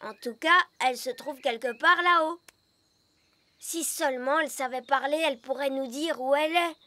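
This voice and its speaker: Un petit elfe garçon, voix haut perchée. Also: female voice, sits high, moderate pace, bright, forceful tone, dry recording. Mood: curious. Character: eager, proud, friendly young elf boy. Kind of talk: French-dubbed children's cartoon acting.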